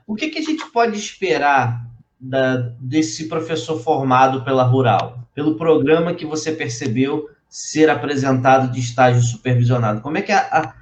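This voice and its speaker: A man hosting an interview, asking questions hesitantly and rephrasing as he goes. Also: mid-range voice. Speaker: interviewer host. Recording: echoey room, faint noise.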